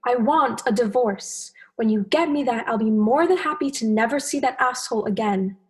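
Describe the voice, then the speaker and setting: A stubborn, aggrieved fish wife, played by a woman in a radio play. Her voice is clearly high, and she speaks in a blunt, earnest way. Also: moderate pace, a little mellow, close to an everyday voice. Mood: demanding. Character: aggrieved, stubborn female fish wanting a divorce. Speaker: actor.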